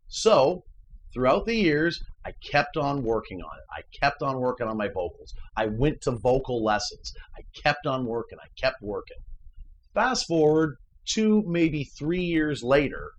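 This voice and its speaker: A baritone man talks to camera as a musician hosting his own channel, earnest and emphatic. His pitch swings widely as he tells his story, with a faint hum of noise underneath. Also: a bit bright, low-quality recording. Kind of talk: casual motivational chat.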